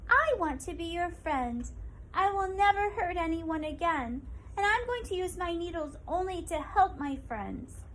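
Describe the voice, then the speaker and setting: Little porcupine, lonely but eager, very high and earnest, as a woman reads her. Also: very animated, moderate pace, few pauses, pushed and bright, a little hiss or hum, heavily acted. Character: lonely young female porcupine, gentle.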